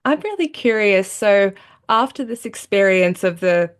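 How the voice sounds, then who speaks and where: A woman hosting a podcast, speaking in an earnest, musing way and slowing down when she reflects. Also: medium pitch, lively intonation, clean tone. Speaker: podcast host.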